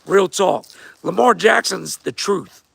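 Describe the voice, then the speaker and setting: Host, male, hoarse and gravelly, higher-pitched, laid-back chat to viewers. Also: takes it slowly, no room sound. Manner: laid-back, repeats himself, talks straight to viewers. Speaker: host of a fight show answering viewer questions. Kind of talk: casual livestream chat.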